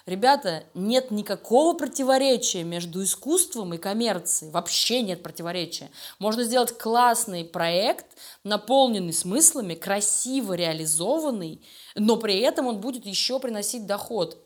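Woman being interviewed, creative director type, mid pitch, chatty and emphatic, thinking out loud. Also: expressive, moderate pace. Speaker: interview guest, animation studio creative director. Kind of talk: conversational interview talk.

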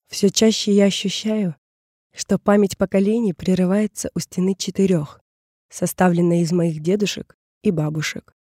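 A woman's voice: on the low side, dark tone, no room sound, studio quality. A woman narrating a scripted personal audio essay in a reflective, contemplative way. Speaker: podcast narrator.

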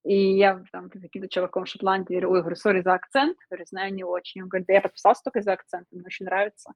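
A woman talks loosely with frequent hesitations and quick laughs. Her voice sits mid-range, close to the mic with no room sound. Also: mid-range voice, steady tone, no room sound. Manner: chatty, laughs often, many fillers. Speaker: guest streamer. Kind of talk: casual interview chat.

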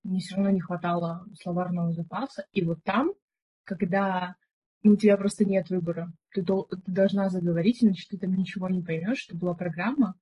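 A young woman co-hosting a podcast, telling stories in a chatty, relaxed way with lots of filler words. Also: lowish voice, brisk, slightly rough. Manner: chatty storyteller, many fillers. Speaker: podcast co-host. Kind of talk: casual chat. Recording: dull, muffled recording.

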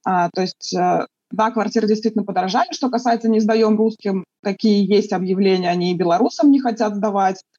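A woman from Russia answering interview questions in a thoughtful, halting way, with many fillers and restarts. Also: medium pitch, warm tone, slightly rough, dry recording, highs cut off.

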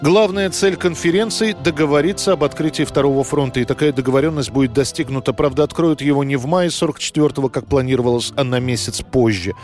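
Man, mid-pitched voice, scripted documentary narration, measured storytelling, music softly behind. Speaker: narrator of a history programme.

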